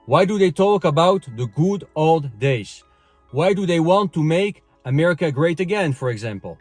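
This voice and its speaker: A European man hosting his channel, giving a casual opinion monologue, talking in a conversational way that keeps checking in with "okay?" and filling pauses with "uh" and "you know". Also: on the high side, lively intonation, darkish tone, no echo, soft background music. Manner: conversational, frequent "okay?" tag questions and fillers. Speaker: channel host. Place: France.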